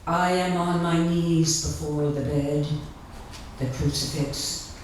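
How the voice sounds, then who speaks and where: A woman giving a live literary reading, deliberate and dramatic, pausing between short phrases. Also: low voice, steady tone, recorded from a distance. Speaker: author reading at a literary event. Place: indoor reading event before an audience. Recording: echoey room from afar, faint noise.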